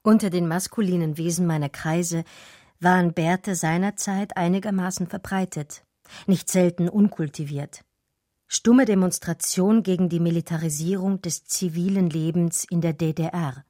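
An actress playing a romantic, daydreaming art historian, narrating her memories in measured, intimate, literary radio drama style. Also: fairly low pitch, steady tone, dark tone, dry recording, clean studio sound, lightly acted. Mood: dreamy, reflective.

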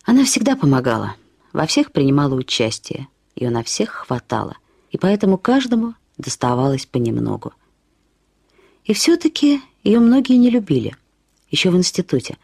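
An actress narrating a short story as a woman fondly and ironically recalling a friend, with expressive, slightly husky delivery. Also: low-pitched, moderate pace, no room sound, clean studio sound, heavily acted. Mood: reminiscing, wry. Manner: acts out quoted remarks with lively intonation. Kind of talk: expressive literary storytelling, first-person reminiscence.

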